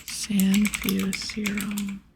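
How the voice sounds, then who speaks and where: A woman doing a whispered ASMR game playthrough, casually soft-spoken and breathy as she reads aloud and comments. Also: mid-range voice, monotone, drawn-out words, low-quality recording. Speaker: ASMR gaming streamer. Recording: soft music behind. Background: soft music.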